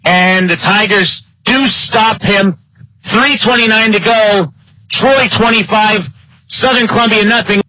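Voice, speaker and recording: Bright-voiced man calling football play-by-play. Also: sits high, measured pace, no room sound, rough recording. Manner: clipped phrases, building excitement on big plays. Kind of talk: live sports play-by-play commentary.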